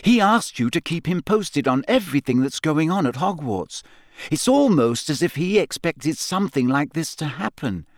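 A man's voice: on the high side, sing-song, moderate pace, no room sound, studio quality, pitched above the performer's own voice. A man voicing an earnest, clever teenage schoolgirl who talks urgently and insistently.

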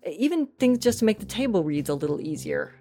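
A woman's voice: mid-range voice, sing-song, brisk, mellow, soft background music. A woman talking casually and enthusiastically as a guest in an interview. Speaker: interview guest, podcast writer-producer.

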